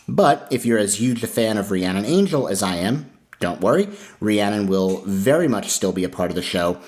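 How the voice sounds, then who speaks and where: A man speaking as a podcast host, reading announcements and sponsor messages in a conversational, earnest way. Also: on the low side.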